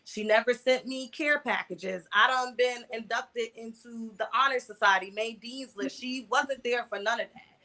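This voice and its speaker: A woman's voice: a little high, moderate pace, sharp tone. A woman in her mid twenties with an American accent, telling personal stories candidly as an interview guest, in colloquial language with repeated words for emphasis. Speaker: interview guest, online video creator.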